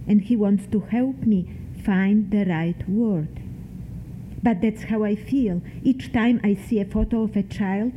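An older woman reads her own memoir, slow and deliberate, dwelling on single words. Her pitch sits in an ordinary middle range. The sound is thin and phone-like, with a faint hiss underneath. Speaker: memoir author reading her work. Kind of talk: slow, measured literary reading.

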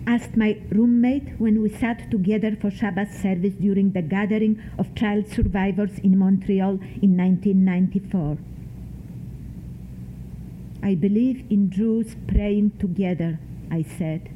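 Older woman reading slowly. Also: medium pitch, steady tone. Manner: deliberate, lingering on single words. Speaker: memoir author reading her work. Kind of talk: slow, measured literary reading. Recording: tinny phone-like sound, faint hiss.

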